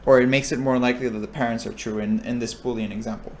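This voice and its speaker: A man's voice: medium pitch, steady tone, fast, a little hiss or hum. A young man explaining a probability lesson, thinking aloud with restarts and checking in with his listeners. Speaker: recitation instructor. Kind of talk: explaining a lecture topic.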